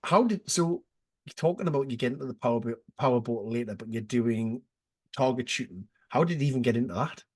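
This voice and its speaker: A man hosts a casual podcast with a slightly husky, breathy voice. He reacts eagerly to his guest and often trips over his words and restarts before landing his question. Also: medium pitch, brisk. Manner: enthusiastic, stumbling restarts, lots of "wow". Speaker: podcast host.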